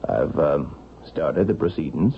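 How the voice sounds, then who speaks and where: An actor playing a stiff, emotionless, evasive lawyer with clipped, formal, guarded speech in a 1970s radio drama. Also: male voice, rumbling low, expressive, unhurried, vocal fry, slightly rough, heavily acted. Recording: dull, hissy vintage radio recording.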